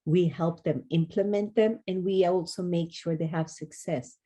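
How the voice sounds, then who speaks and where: A woman in her forties with a low, mellow voice answers interview questions as an expert guest. She is articulate and earnest, explaining her field in a conversational way. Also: fairly even intonation, normal speed. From Kenya. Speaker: wellness consultant and author, podcast guest.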